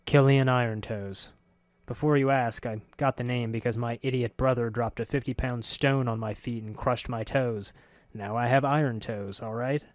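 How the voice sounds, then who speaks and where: A man's voice: mid-range voice, fairly even intonation, moderate pace, no room sound, natural voice. A man reading a story plays a stern, dismissive middle-aged man who speaks in a staccato way, clipping each word.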